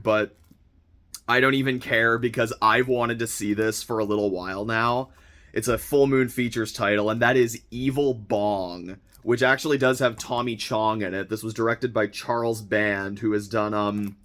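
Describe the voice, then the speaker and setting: A young man with a slightly hoarse voice, chatting casually and excitedly as he unboxes a monthly subscription package, rambling with lots of fillers and restarts. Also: medium pitch. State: slightly hoarse, recovering from illness. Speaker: vlogger unboxing a film subscription box.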